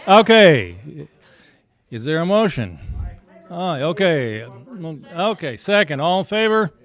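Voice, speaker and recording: A man chairing a club meeting with informal announcements, speaking in a halting, folksy way. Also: a little high, very animated, fairly bright tone.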